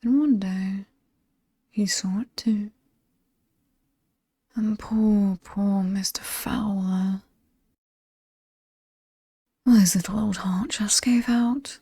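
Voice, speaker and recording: A British woman playing an alluring, sinister demon mistress of a haunted house in a horror ASMR roleplay, breathy and often whispering, with a taunting, teasing manner. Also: mid-range voice, mellow, no room sound, studio quality, heavily acted.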